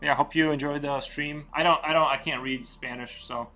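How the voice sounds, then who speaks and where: A man streaming while he draws, chatting casually in a rambling, relaxed way with lots of fillers. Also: on the high side, brisk. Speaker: streamer and artist. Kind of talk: casual live-stream chat while drawing.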